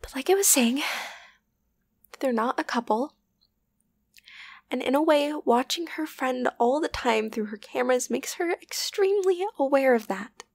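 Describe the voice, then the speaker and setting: Casting: woman to play obsessive yandere best friend, ASMR roleplay. High-pitched, breathy, whisper-prone, sweet but unhinged, intimate delivery. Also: expressive. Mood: hushed, confessional. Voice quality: breathy, often dropping to a whisper. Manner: halting, intimate, wavering between tender and agitated. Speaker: voice actor.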